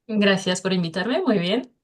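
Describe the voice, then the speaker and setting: A French woman, whose first language is French, speaking as a guest teacher in an interview and pausing often with filler sounds. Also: medium pitch, big pitch swings. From France. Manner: hesitant, many filler sounds between phrases. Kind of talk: casual interview.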